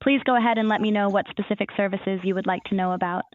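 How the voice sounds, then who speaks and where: A woman's voice: medium pitch, steady tone, quick pace, phone-quality sound. A woman's voice as an AI assistant, giving scripted, formal informational answers in a customer-service manner.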